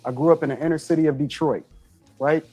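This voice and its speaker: A man's voice: fairly high pitch, fairly even intonation, fast talker, dark tone, slightly rough, soft background music. A man in his thirties speaking firmly as a talk show guest. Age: 30s.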